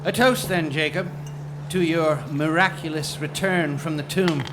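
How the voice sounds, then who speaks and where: A man playing a haughty, evasive gentleman archaeologist in a macabre audio drama, with an arch, sardonic, pompous delivery. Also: fairly high pitch, steady tone, unhurried, noisy background, lightly acted. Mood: dry, suave. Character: haughty, evasive gentleman archaeologist, scornful male rival named Stanley.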